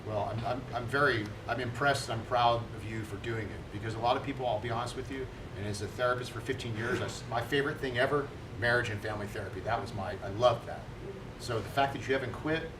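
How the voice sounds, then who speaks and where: A man moderates a film panel in an encouraging tone, often restarting his sentences. He sounds a bit away from the mic. The room echoes and a constant noise with rumble sits behind him. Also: quick pace, distant mic. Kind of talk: panel discussion. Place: large room at a film screening.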